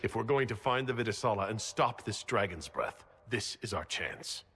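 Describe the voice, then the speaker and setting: A man's voice: lowish voice, expressive, normal speed, breathy edge, full character voice. A man playing a serious, resolute leader of an inquisition in a video game, speaking earnestly and inquiringly. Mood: concerned. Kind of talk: cinematic video game dialogue. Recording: loud ambient noise behind voice.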